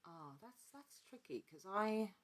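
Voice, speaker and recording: A woman's voice: medium pitch, lively intonation, measured pace. A woman chatting casually as an interview guest, an actor talking in a conversational way. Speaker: podcast guest, actor and producer. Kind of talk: casual chat.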